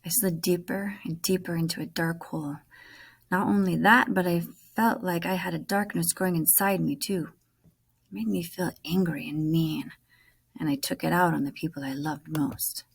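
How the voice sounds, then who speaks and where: A woman teacher reading a children's novel aloud as narration, dramatic and often dropping to a hush as phrases end. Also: fairly low pitch, fairly even intonation, moderate pace, vocal fry, slightly rough.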